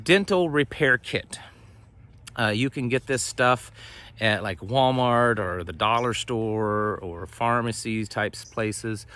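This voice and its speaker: Low-voiced American man, rambling and folksy. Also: pushed and bright. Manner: rambling, folksy, trailing off mid-thought. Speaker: host of a homesteading and prepping channel. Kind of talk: casual vlog talk to camera. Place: outdoors on a homestead, ducks nearby.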